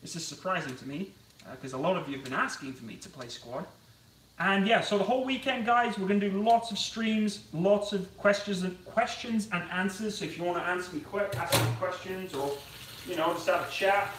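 A man's voice: sits high, a little fast, a bit bright, slight background noise. A young man chatting casually on a live stream while cooking in his home kitchen, rambling and self-deprecating. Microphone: camera on tripod across the kitchen. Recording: roomy, distant kitchen sound.

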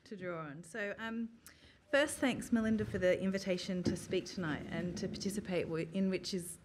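A woman in her thirties, an academic, giving a prepared public talk from notes in a small room, measured and earnest, with frequent ums. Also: mid-range voice, slight background noise. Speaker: academic speaker. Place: small gallery room with an audience, indoors. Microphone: stand mic, filmed from the audience.

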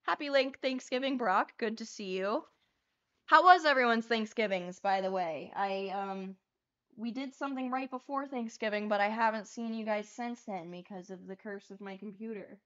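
A young woman hosting a casual livestream from her bedroom, chatting freely and swearing as she paints, with a voice that is getting hoarse. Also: mid-range voice, moderate pace, a bit bright, dull audio. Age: young adult. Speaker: livestream host. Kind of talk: casual chat while painting cards. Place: small bedroom. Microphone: lapel mic.

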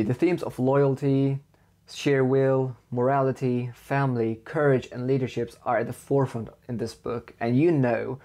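Mellow-voiced young man reviewing books. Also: mid-range voice. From Faroe Islands. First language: Faroese. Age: young adult. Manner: enthusiastic, lists praise in measured steps. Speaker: book reviewer. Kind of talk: scripted book review. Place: indoor room with bookshelves.

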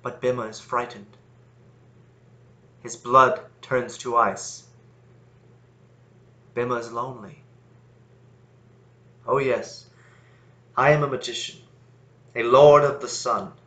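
A man playing a fearful, lonely magician who calls himself lord of the sun, in a deliberate, theatrical monologue. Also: mid-range voice, fairly even intonation, a bit bright. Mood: frightened, lonely. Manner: dramatic, incantatory, deliberate.

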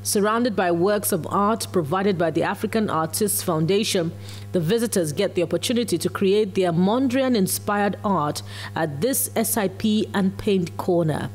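A woman news reporter delivers a scripted voice-over at a medium pitch. Faint music plays beneath her narration. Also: moderate pace, a little mellow.

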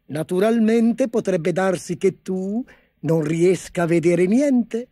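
A man voicing a vain, spoiled emperor who shouts demands petulantly and gets excited easily. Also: sits high, takes it slowly, dry recording, voice pushed up. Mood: scheming.

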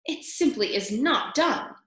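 Storybook role: scandalized busybody auntie mouse played by a female reader, prim, disapproving, pitched up, roomy. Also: expressive, a little slow, a bit bright.

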